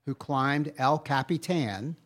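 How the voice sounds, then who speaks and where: A man's voice: mid-range voice, takes it slowly, a bit bright. A man co-hosting a podcast in casual, bantering conversation, with an enthusiastic, insistent way of talking. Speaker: podcast co-host.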